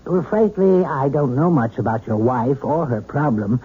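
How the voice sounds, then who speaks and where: Polite, husky-voiced doctor. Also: male voice, medium pitch. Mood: calm. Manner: measured, courteous, hesitant fillers. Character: calm, polite male hypnotherapist doctor. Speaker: radio drama actor. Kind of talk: 1970s radio drama acting. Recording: tinny old radio broadcast.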